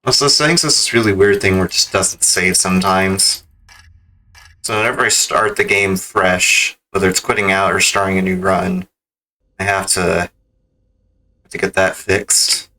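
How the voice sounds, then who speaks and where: A man's voice: low voice, fairly even intonation, normal speed, sharp tone, poor audio. A man giving casual gameplay commentary as a speedrun streamer. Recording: keyboard clicks and background noise.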